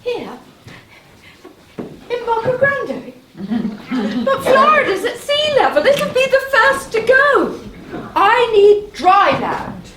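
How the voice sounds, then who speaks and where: An actress playing a whiny, fretful young goddess with a plaintive, anxious delivery in a live stage comedy. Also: female voice, very high voice, big pitch swings, slow, long pauses, a crowd in the background, exaggerated voice. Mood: alarmed. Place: small playhouse stage, live performance. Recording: distant echoey theater recording, loud noise.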